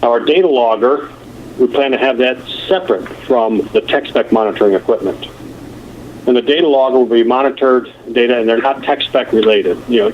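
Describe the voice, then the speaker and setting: A man giving a halting technical explanation as an engineer presenting on a telephone conference call. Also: medium pitch. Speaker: nuclear plant engineer presenting. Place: conference call over the phone. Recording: muffled, noisy telephone conference line.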